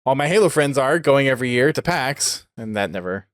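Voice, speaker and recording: A man in casual podcast conversation, recorded close and dry with no room sound. He hosts in a conversational way, working out his thoughts aloud at a medium pitch. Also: lively intonation, fairly quick, no room sound. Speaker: podcast host.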